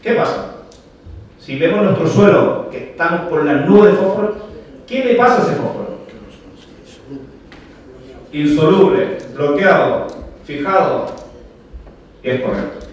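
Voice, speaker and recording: A Chilean-accented man delivers a technical talk, explaining step by step and throwing questions back at the room. His voice sits a little high for a man and comes from well back from the microphone, washed in the heavy echo of a large hall. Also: measured pace, long pauses, slight background noise. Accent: Chilean Spanish. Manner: explanatory, frequent "¿vale?" checks, rhetorical questions to the audience. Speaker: agronomy speaker. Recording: very echoey hall, voice heard from far off.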